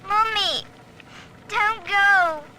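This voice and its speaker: A woman's voice: extremely high pitch, very slow, noisy background, full character voice. A woman playing a small, pleading, obedient young girl in a video game. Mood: pleading, meek. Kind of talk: video game character acting.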